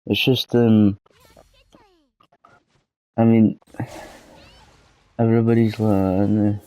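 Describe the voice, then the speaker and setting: A Scottish man with a cold and a hoarse throat, rambling and swearing in a self-deprecating way through a casual gaming vlog. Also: fairly low pitch, flat delivery, takes it slowly, warm tone, poor audio. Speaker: gaming channel host.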